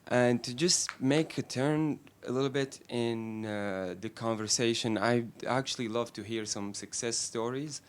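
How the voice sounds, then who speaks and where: A man's voice: mid-range voice, a little slow, few pauses, clean tone. A man from Syria asking a panel a question, tentative with many fillers. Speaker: audience member asking a question.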